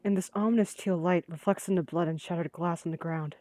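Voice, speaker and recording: A woman's voice: on the low side, steady tone, fairly quick, mellow, no room sound. A woman reading visual novel narration aloud during a voiced playthrough. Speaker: gaming video creator reading aloud.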